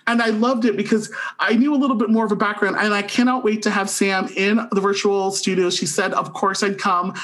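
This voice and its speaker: High-pitched, gushing man co-hosting a podcast. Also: level tone, fairly quick, hardly any pauses, fairly bright tone. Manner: gushing, enthusiastic. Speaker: podcast co-host and reviewer. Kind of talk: podcast review chat.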